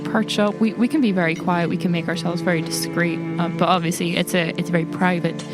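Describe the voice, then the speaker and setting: A woman's voice: on the low side, fairly even intonation, fast, natural voice. Woman playing a candid, apologetic investigator, faint music. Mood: polite, discreet. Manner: conversational, apologetic, questioning. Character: Maddie, candid, polite young woman investigator at a wake. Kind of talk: improvised roleplay in an audio story.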